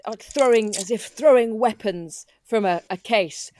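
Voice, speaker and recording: A woman's voice: medium pitch, measured pace, darkish tone, a little hiss or hum. A woman improvising in-character dialogue as a player in a roleplay podcast.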